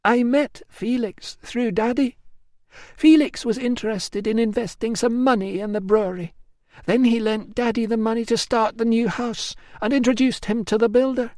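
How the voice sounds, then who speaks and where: Man voicing middle-aged woman, sad, hesitant, raised pitch, dry close sound. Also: normal speed, darkish tone, no echo, close to an everyday voice. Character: anxious, sad middle-aged brewer's daughter.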